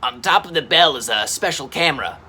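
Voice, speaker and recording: A man plays a helpful dinosaur boss from a children's storybook. He explains things emphatically, in a raised male voice with lively swings. Some noise sits behind him. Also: a little high, fairly quick. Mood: helpful, emphatic.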